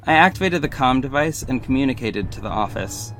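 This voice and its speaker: A man narrates an audio drama in a scripted, first-person style. His voice sits at a medium pitch, with music playing clearly behind it. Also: expressive, a little fast.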